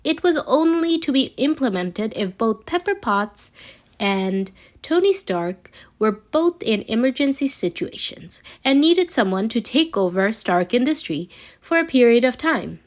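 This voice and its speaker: A woman reading a scripted story aloud as an amateur narrator, expressive but a little halting, with occasional stumbles. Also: on the high side. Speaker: amateur fan fiction reader.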